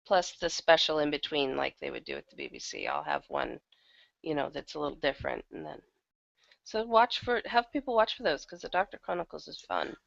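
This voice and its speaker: Woman with a creaky, lower voice, lo-fi. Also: big pitch swings, a little fast. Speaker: guest, audio drama producer. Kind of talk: casual interview talk.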